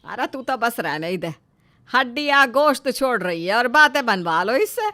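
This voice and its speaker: A woman's voice: a little high, big pitch swings, moderate pace, pushed and bright, a little hiss or hum. A woman voicing a blunt, teasing older mother-in-law in a family story, taunting and gossipy. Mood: mocking.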